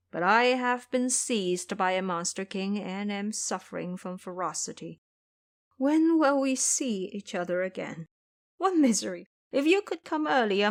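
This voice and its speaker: Woman acting a lamenting captive monk, expressive pitch. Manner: sorrowful, pleading. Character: captured male monk master, lamenting and helpless.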